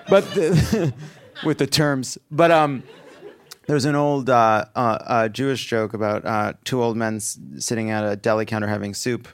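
A man presenting commercials on a live comedy stage, animated and laughing with quick asides. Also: medium pitch. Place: theater stage before an audience.

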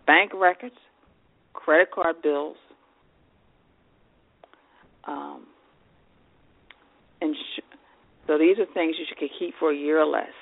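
Woman, nasal and congested, podcast host reading tips, fry in voice, dry close sound. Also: low-pitched, steady tone, slow, long pauses, no echo. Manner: reads lists of tips with fillers. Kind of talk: advice podcast talk. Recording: tinny phone-like line.

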